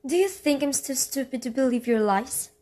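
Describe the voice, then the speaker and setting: A woman's voice: high-pitched, animated, normal speed, noisy background. A woman playing a troubled, defiant teenage daughter who tells her story in an amateur radio drama. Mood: angry, defiant.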